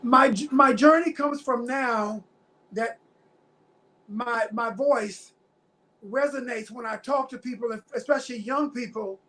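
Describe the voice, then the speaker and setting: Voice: mid-range voice, a little slow, sharp tone, low-quality recording. A guest in their fifties giving personal testimony in a casual interview, speaking earnestly and repeating phrases for emphasis. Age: 50s.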